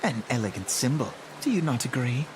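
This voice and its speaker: A man's voice: medium pitch, sing-song, fairly quick, noisy background, close to an everyday voice. An actor playing a courteous townsman in a fantasy game, explaining in a polite, formal way. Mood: proud, courteous. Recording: music and rain behind the voice.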